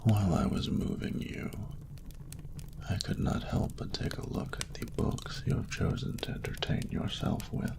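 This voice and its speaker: A man voicing an ancient, courtly dragon ruler who speaks in a breathy, gravelly murmur with formal, doting phrasing, in an intimate romantic audio roleplay. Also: fairly low pitch, huge pitch swings, in a whisper, full character voice. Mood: teasing, fond. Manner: slow, formal, archaic, tender phrasing.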